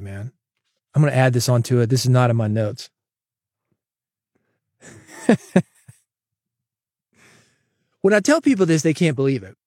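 A man's voice: mid-range voice, a little mellow, dry recording, clean studio sound. A man hosting his own solo podcast, talking casually and coaching listeners, often calling them "man". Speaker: podcast host.

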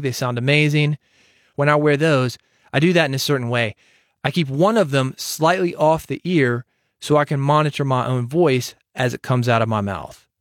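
A man's voice: mid-range voice, no room sound. A man hosting his own podcast alone, giving direct, confident advice into a studio microphone. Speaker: podcast host. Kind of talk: solo podcast talk.

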